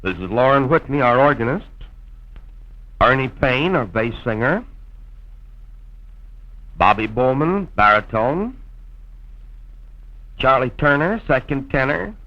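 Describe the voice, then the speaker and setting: A muffled, lo-fi old film soundtrack with a man announcing. His voice is mid-pitched, and he speaks in the formal, scripted manner of a mid-century radio narrator. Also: big pitch swings, slow pace, long pauses.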